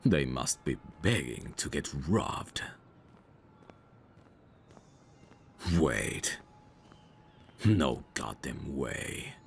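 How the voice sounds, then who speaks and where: A man acting out a dangerous, suave mafia boss for an ASMR roleplay, with an extremely deep voice that creaks with gravel. He takes his time, drawing words out very slowly in a cocky, flirtatious, teasing way. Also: big pitch swings, a little hiss or hum, full character voice. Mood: brooding, muttering. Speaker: voice actor.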